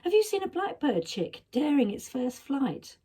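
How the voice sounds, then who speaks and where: A woman's voice: high-pitched, big pitch swings, unhurried, warm tone, voice pushed up. A woman voicing a young girl in a storybook, earnest and tender, coaxing in a whispery way. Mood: urgent, coaxing.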